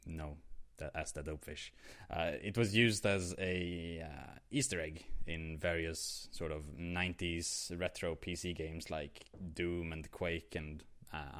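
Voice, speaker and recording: A man's voice: a bass voice, a bit bright. A young man with a Swedish accent, co-hosting a podcast in casual chat, rambling with many fillers and restarts. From Sweden. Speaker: podcast co-host.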